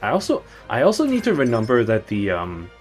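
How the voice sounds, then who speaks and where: A man's voice: mid-range voice, wildly swooping pitch, fairly quick, faint music behind. A man streaming a game, chatting casually in a rambling, jokey way and correcting himself as he goes.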